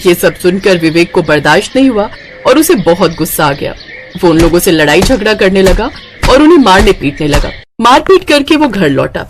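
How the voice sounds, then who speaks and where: A woman narrates a scripted moral story. Her voice has an average pitch, and she tells the tale as a storyteller would. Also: animated, normal speed, soft background music.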